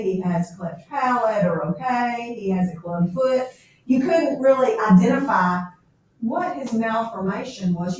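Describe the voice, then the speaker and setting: Echoey, slow-paced woman reading aloud and explaining. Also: fairly high pitch, darkish tone. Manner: explanatory, checking in with "okay?". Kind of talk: read-aloud of a classic novel with explanatory commentary. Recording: echoey room, distant mic, faint noise.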